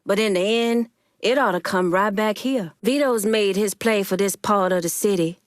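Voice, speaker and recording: Woman acting tough haitian lady gang boss for a video game, blunt n bossy, streetwise, mid pitch, super dry sound. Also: moderate pace, no room sound, lightly acted. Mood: firm, commanding. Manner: blunt, streetwise, commanding, swearing.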